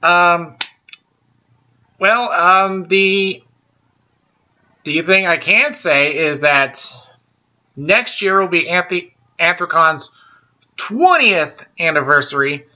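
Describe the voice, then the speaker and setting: A man hosting a casual solo show, talking slowly with lots of ums, uh's and restarts, in a baritone voice. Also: pushed and bright, lo-fi sound. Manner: slow and halting, many ums and restarts. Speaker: podcast host.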